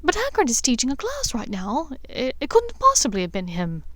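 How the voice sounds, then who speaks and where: A woman voicing an earnest, expressive teenage schoolgirl witch in an audiobook. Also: high-pitched, a little fast, no echo, voice pushed up, exaggerated voice.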